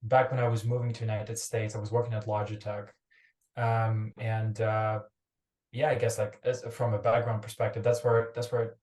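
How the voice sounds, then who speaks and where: A young man speaks as a founder on a podcast. His voice is low-set and flat, and the call audio is roomy and echoey. He rambles, doubling back over his words as he goes. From Ukraine. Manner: rambling, restarts phrases, quick asides. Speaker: startup founder guest. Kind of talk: casual podcast interview. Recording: roomy echoey remote recording.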